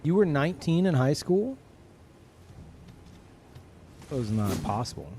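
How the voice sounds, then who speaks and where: A man's voice: fairly high pitch, big pitch swings, fairly quick, dark tone, slight background noise. A man in his thirties casually chatting and swearing as he reads out chat messages while streaming a game.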